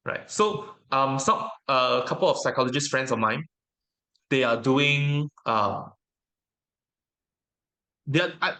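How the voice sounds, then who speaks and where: A man whose native language is Mandarin, giving casual interview answers as a guest in a reflective, hesitant way with frequent pauses. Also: medium pitch, expressive, sharp tone. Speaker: interview guest, tabletop game educator.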